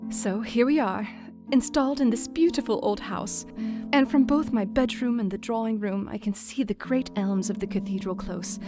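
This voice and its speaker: A woman playing a chatty, affectionate young wife reading out her period letter in an audio drama, expressive and gently formal. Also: a little high, moderate pace, close to an everyday voice. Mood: fond, contented. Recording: instrumental music under the voice.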